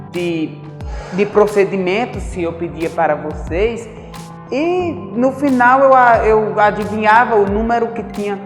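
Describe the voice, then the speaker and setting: A man teaching a recorded math lesson, talking casually and putting direct questions to his students. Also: high-pitched. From Brazil. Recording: echoey hall with faint music.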